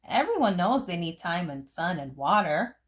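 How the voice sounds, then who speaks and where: A woman voicing an exuberant, mischievous second-grade child from a picture book, eager and excitable. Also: fairly low pitch, normal speed. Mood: matter-of-fact.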